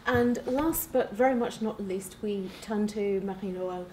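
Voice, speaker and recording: A woman chairs a panel in a seminar room, handing over to the next speaker with polite, brisk words. Her voice sits at an everyday pitch over a faint room hum. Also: dark tone, low-quality recording. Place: seminar room at a conference table.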